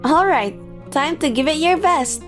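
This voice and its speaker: A woman plays an earnest young secretary in a manga dub. Her voice sits high, with a modest, encouraging manner. Light music plays behind her. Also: lively intonation, measured pace, fairly bright tone. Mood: playful, encouraging. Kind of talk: scripted manga dub acting.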